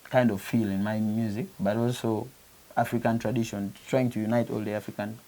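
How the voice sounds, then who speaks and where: Earnest Ugandan musician, gravelly voice. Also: male voice, on the low side, flat delivery, a little fast, mellow, poor audio. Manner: earnest, repeats and restarts phrases, says "you know" often. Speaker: musician, interview guest. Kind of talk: casual interview talk. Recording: faint background hiss.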